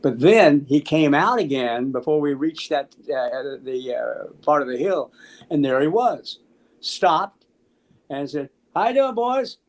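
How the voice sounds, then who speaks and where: An American man in his seventies casually telling anecdotes in an animated, halting way, acting out the dialogue. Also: fairly high pitch. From Memphis, United States. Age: 70s. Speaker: interview guest, musician. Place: small room at home, on a video call.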